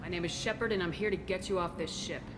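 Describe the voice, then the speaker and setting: An actress playing a steady, principled military commander in a video game, firm, composed and direct. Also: female voice, fairly low pitch, fast, background noise, natural voice. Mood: firm, calm.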